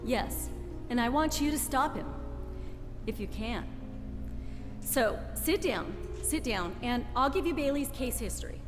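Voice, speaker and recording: A woman playing a brisk, patient superintendent of angels who instructs with authority in a 1940s-style radio play. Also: on the high side, expressive. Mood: grave, commanding. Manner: commanding, explanatory. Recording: echoey hall, music behind voice.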